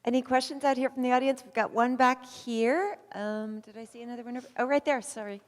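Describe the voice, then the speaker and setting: Higher-voiced woman hosting in a reverberant hall. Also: steady tone, fairly quick. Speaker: host moderating audience questions. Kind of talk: informal event moderating. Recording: echoey hall with long reverb.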